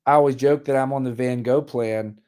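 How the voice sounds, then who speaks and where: A man's voice: mid-range voice, fairly even intonation, a little fast, a little mellow. A man in his forties, a musician guest on a radio interview, talking in a candid, wry, matter-of-fact way, his voice slightly worn. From Richmond, Virginia. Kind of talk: casual interview chat.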